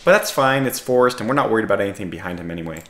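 A man with a scratchy voice giving casual strategy game commentary, calmly thinking aloud as he analyses his options. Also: lowish voice, rapid-fire, reverberant. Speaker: gaming video host.

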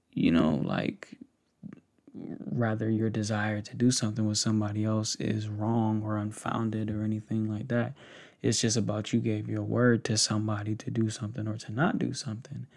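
Gravelly, creaky young American man, ASMR. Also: fairly low pitch, a little mellow. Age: 20s to 30s. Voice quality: gravelly, fry-laden. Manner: unhurried, hesitant, pausing talk addressed intimately to one listener. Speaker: ASMR creator. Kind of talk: ASMR roleplay, soft conversational monologue. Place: small indoor setup with cloth backdrop. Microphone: close desktop condenser mic with pop filter.

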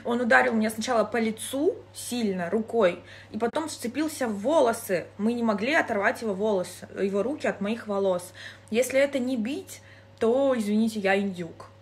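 A woman's voice: fairly high pitch, normal speed. A woman in her twenties giving an aggrieved, matter-of-fact personal account into a phone indoors. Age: 20s. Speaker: social media personality. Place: indoor room. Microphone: phone at arm's length. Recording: phone recording with faint background noise.

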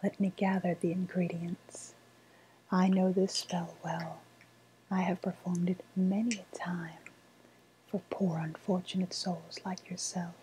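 A woman playing a sly woodland witch in a softly spoken ASMR roleplay, half-whispering in a deliberate, sweetly ominous manner. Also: on the low side, flat delivery, a little hiss or hum, close to an everyday voice, poor audio. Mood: hushed, ritual. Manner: slow, deliberate, hushed, ominous.

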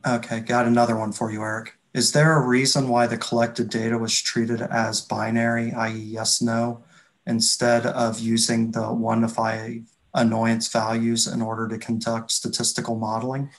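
A middle-aged man moderating a webinar on a video call, reading out questions in a measured, hesitant way. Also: mid-range voice, completely flat delivery. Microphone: computer video-call microphone.

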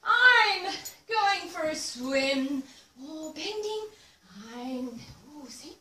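A woman leading a child through a playful movement session, chanting gently and encouragingly in a sing-song way. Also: high-pitched, lively intonation, very slow. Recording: echoey room, distant mic.

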